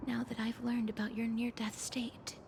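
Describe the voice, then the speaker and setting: An actress voicing a lonely, solemn, millennium-old woman of great power in a soft, breathy, measured game performance. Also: a little high, flat delivery. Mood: solemn resolve. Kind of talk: dramatic video game voice acting. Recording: game audio with clear background noise.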